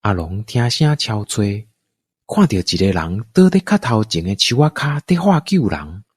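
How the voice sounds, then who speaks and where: Husky, animated Taiwanese male storyteller. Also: fairly low pitch, moderate pace, no echo, clean studio sound. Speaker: narrator. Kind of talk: scripted radio drama storytelling.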